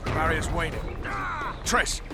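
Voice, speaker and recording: An actor playing a gruff, foul-mouthed and sardonic soldier commander in a fantasy video game. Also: male voice, fairly high pitch, takes it slowly, loud music behind the voice. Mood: strained, urgent. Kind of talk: dramatic video game acting.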